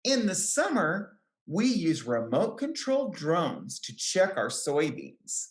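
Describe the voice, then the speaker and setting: In a roomy, echoing space, a woman reads a children's picture book aloud in a low voice. Her delivery is careful and deliberate, with lively rises and falls in pitch that suit young listeners. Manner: careful, deliberate phrasing with short explanatory asides to children. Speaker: children's book reader, extension educator.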